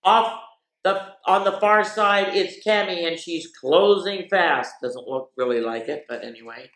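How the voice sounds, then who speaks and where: A woman hosts story time for children in a roomy, echoing space. She reads picture books aloud at a very unhurried pace, drawing out key words and slipping in informal comments of her own. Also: fairly low pitch, a bit bright. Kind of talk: reading picture books aloud with chatty asides. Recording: echoey, roomy sound.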